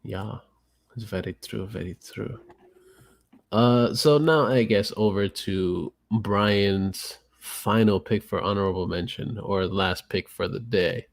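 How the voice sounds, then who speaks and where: A man with a fairly low voice hosting a podcast. He talks loosely, full of "um" and "like", and swears freely as he recommends things. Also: slow, vocal fry. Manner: loose, profane, filler-heavy. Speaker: podcast host. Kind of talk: casual podcast chat.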